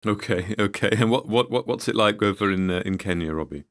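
A male podcast host with a deep, low voice introduces his guest and co-host. He keeps it friendly, pausing and restarting now and then. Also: no echo, studio quality. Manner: friendly, slightly halting host patter.

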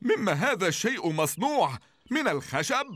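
A woman's voice: mid-range voice, big pitch swings, moderate pace, a bit bright, dry recording. A woman voicing a lazy, scheming male villain in a cartoon dub, whiny and melodramatic. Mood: admiring. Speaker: dubbing actor. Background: music.

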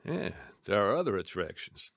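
An actor playing a calculating mob boss in a radio drama, businesslike and commanding. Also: male voice, fairly low pitch, sing-song, normal speed, gravelly, a bit bright, dry recording, clean studio sound, heavily acted. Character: smooth, calculating mob boss, a grown man.

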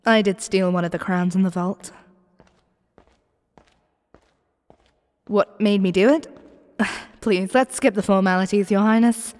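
A dry, close recording of a woman playing a cocky young female thief. She is an expressive commoner with a sardonic edge, given to sighs, speaking at a middling pitch in an intimate audio roleplay addressed to one listener. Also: normal speed, no echo, close to an everyday voice. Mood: defiant, weary.

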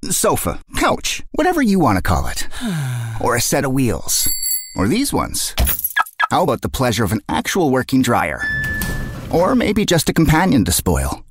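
A man's voice: mid-range voice, a little hiss or hum. A man narrating a scripted, conversational commercial as its announcer.

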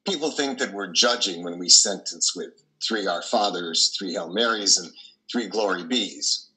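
A man's voice: low-pitched, measured pace, sharp tone, heavily acted, rough recording. An older man in his sixties playing a weary, haunted priest in a reflective, wry dramatic monologue. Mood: wry reflection. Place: dim wood-trimmed room at home, video call.

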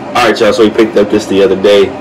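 A man's voice: lowish voice, level tone, quick pace, crowd noise. A young man chatting casually to his viewers while he cooks in a home kitchen, filmed by a camera a few feet away. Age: young adult. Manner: laid-back, chatty, talks to viewers while cooking. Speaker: fitness vlogger. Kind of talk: casual cooking vlog. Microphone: camera set on the counter, a few feet away. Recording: distant camera mic, music behind.

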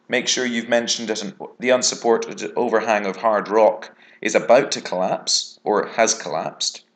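A British male geography teacher talks through an exam answer over slides. His voice sits fairly low, but his intonation moves a lot. He explains step by step in a measured, instructive manner. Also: fairly bright tone. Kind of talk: exam revision lesson narrated over diagrams.

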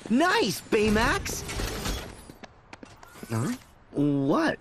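A man's voice: high-pitched, huge pitch swings, very slow, background noise, exaggerated voice. An actor playing an eager, confident teenage boy hero with a casual, cocky way of talking. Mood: curious, friendly.

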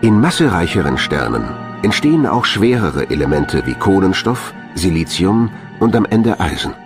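A man's voice: deep voice, big pitch swings, normal speed. A man narrating a science documentary from a script, as the narrator of the programme. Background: background music.

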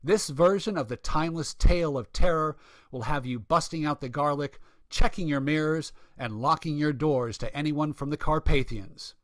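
A man's voice: on the high side, normal speed, dry recording, clean studio sound. A man announcing a radio show in scripted narration.

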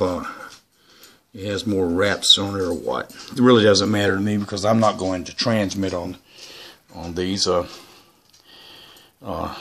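Low-pitched man, rambling casual vlogger. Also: fairly bright tone. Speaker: YouTuber presenting his radio antennas. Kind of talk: casual unscripted product show-and-tell.

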